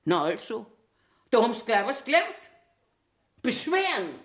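A woman's voice: fairly high pitch, big pitch swings, measured pace. A woman in her eighties with a Bavarian accent, playing a cranky, eccentric old washroom attendant grumbling to herself in a theatrical monologue. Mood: bossy scolding. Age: 80s. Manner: grumbling self-talk, swinging between scolding and muttering. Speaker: actor.

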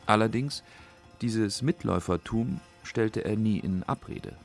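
Guy narrating a radio documentary, low gravelly voice, factual, faint music behind. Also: unhurried. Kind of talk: scripted radio feature narration.

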